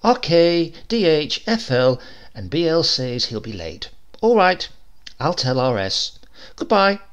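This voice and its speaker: A man reading a story voices a brisk, motherly young woman assistant in a crisp, efficient manner. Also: on the high side, big pitch swings, muffled recording, voice pushed up.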